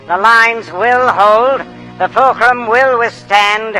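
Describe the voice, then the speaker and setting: A defiant architect with a high, bright, forceful voice. He orates slowly and grandly, as on a theater stage, with music underneath. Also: full character voice, low-quality recording. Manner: declamatory, deliberate. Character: defiant, grandiose male architect orating with conviction. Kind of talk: theatrical oratory in an audio stage play.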